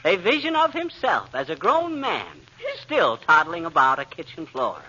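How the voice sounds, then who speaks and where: An actor playing a folksy, boastful, wisecracking husband in excitable 1940s radio comedy style. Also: male voice, on the high side, moderate pace, exaggerated voice. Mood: mock grand. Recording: muffled vintage radio, faint noise.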